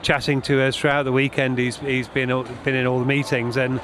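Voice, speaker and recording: A male engineering chief answering interview questions outdoors in a measured, matter-of-fact way. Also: medium pitch, little pitch movement. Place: outdoors at race paddock. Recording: noisy outdoor paddock ambience.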